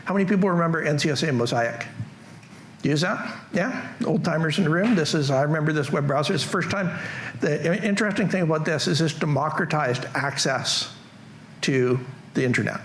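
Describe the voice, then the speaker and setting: Middle-aged Canadian man, easygoing tech speaker, a touch higher-pitched, faint room hum behind. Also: a little fast. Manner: casual, joking, self-deprecating. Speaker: conference presenter. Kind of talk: tech conference talk. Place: large conference hall.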